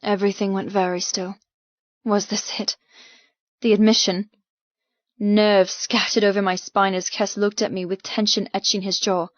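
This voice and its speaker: A woman's voice: mid-range voice, level tone, normal speed, no echo, dull audio. A woman narrating a first-person novel as an audiobook, with a dramatic, intense delivery.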